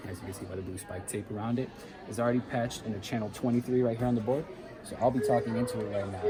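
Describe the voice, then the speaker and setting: Deep-voiced young man demoing a sound board. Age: late teens. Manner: relaxed, chatty explaining. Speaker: student sound engineer. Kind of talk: casual equipment demo. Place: theater sound booth.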